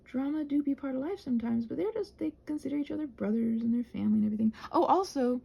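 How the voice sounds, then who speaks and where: A soft-spoken woman in a casual, rambling chat while she crafts, meandering and interrupting herself as she murmurs along. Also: high voice, quick pace, gravelly, slightly rough, muffled recording. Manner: meandering, digressive, self-interrupting. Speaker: craft vlogger and audio creator.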